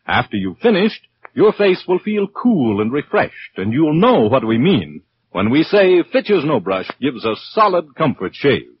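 A man's voice: on the high side, very animated, measured pace, highs cut off, slight background noise. A man announcing and reading commercials in 1940s radio style, on an old recording.

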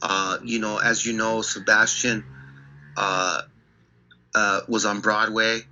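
A man, a guest on an interview, telling studio stories in a casual, animated way with short insistent bursts. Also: lowish voice, slow, highs cut off, slight background noise. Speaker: record producer guest.

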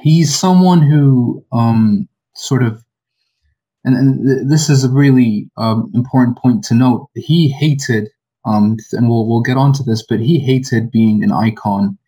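A man's voice: medium pitch, unhurried, dark tone, husky, rough recording. A man speaking as a podcast guest, hesitant and full of ums and restarts. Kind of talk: casual podcast conversation.

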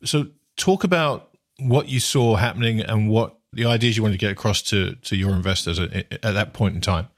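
A man with a fairly low voice hosts the podcast, talking in an easy, conversational way. He frames his questions loosely, repeating a word now and then as he goes. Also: moderate pace. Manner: conversational, repeats words while framing questions. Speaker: podcast host. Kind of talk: podcast interview.

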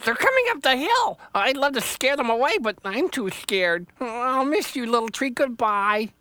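A man voicing a fearful little rabbit, skittish and quick to alarm. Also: very high-pitched, sing-song, sharp tone, no echo, voice pushed up, exaggerated voice.

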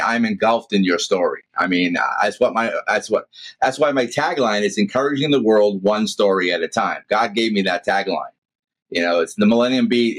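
Man, deep baritone, low pitch, rambling, podcast host. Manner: rambling, with many restarts and affirmations. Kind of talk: casual interview chat.

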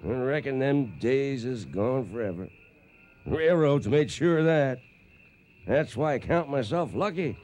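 An actor playing a weather-beaten old trail boss who spins yarns in folksy American Western speech for a radio western. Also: male voice, mid-range voice, lively intonation, a little slow, a little mellow, natural voice. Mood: reminiscing. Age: older. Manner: folksy, rustic cowboy speech. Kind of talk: radio western acting, storytelling narration.

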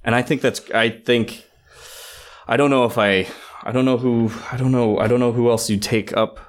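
A man's voice: mid-range voice, steady tone, normal speed. A man co-hosting a casual film discussion, earnest and thinking aloud as he goes. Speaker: podcast co-host.

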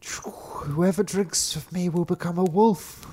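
A reader voicing an enchanted talking brook that chants its warning in a high, eerie tone. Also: takes it slowly, dark tone, a little hiss or hum, lightly acted.

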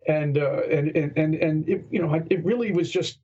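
A man in a casual podcast chat about running tabletop games, rambling with frequent restarts and repeated words in a husky voice. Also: fairly high pitch, normal speed, muffled recording. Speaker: podcast guest or co-host.

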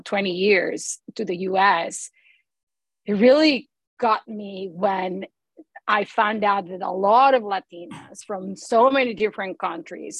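A woman's voice: medium pitch, creaky, husky, no echo, low-quality recording. Woman. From Mexico. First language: Spanish. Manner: animated storytelling, acts out quoted voices. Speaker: guest, film producer. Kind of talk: conversational interview.

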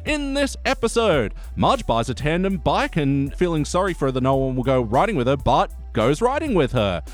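A man hosting a casual, jokey review podcast in an animated way. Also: a little high, moderate pace, soft background music. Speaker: podcast host. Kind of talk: casual comedic review chat.

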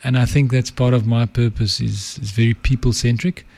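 A middle-aged South African man with an Afrikaans accent, a podcast guest talking calmly and reflectively about his life. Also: mid-range voice, moderate pace, creaky. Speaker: guest, advertising entrepreneur and author. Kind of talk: reflective interview talk.

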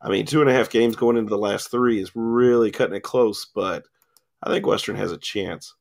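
A man talks through college sports results on a studio radio mic, rambling and filling pauses with ums. His voice has a gravelly edge. He sounds like he is starting to lose it. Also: lowish voice. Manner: rambling, lots of ums and filler. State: losing his voice, coughing. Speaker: sports talk host. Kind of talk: casual sports radio chat. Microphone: studio mic with cough button.

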